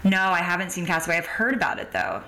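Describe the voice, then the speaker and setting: Informal, lower-voiced woman podcast host. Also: very animated, fast talker, pushed and bright, a little hiss or hum, rough recording. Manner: informal, chatty. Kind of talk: casual interview chat.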